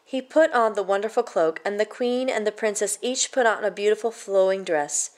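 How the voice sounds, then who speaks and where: A woman storyteller reading a children's picture book aloud in a measured, expressive way. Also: medium pitch.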